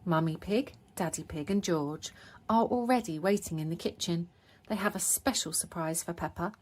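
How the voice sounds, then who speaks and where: A woman reading picture-book stories aloud for young children in an expressive narrating style. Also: lowish voice, normal speed. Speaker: storybook reader.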